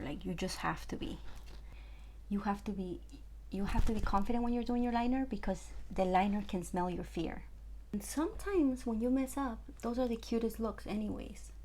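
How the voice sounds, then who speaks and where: Woman, Spanish accent, young adult, casual makeup tutorial talk, gravelly fry, faint background noise. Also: mid-range voice, normal speed, pauses often. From Ecuador. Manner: chatty, relaxed, lots of fillers. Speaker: makeup artist.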